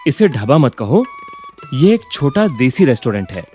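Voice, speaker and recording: Cartoon story role: impatient, ambitious young son, male actor, lively intonation, soft dark timbre, dismissive edge. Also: medium pitch, moderate pace, faint music behind, lightly acted. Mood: boastful. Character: ambitious, impatient young business graduate, son of eatery owner. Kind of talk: Hindi cartoon story voice acting.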